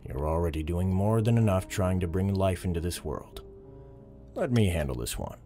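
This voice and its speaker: A man's voice: low voice, lively intonation, normal speed, dark tone. A man playing a weary, devoted policeman with a dry, earnest manner in an audio drama. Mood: tender. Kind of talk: dramatic audio drama dialogue and inner monologue. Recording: roomy reverb, faint music behind.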